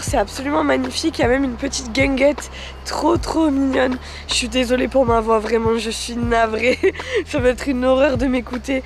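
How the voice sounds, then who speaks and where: A young woman from southwest France vlogging to camera outdoors while congested with a cold, chatty and upbeat. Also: high-pitched. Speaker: travel vlogger. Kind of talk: casual vlog talk to camera. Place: outdoors in villages.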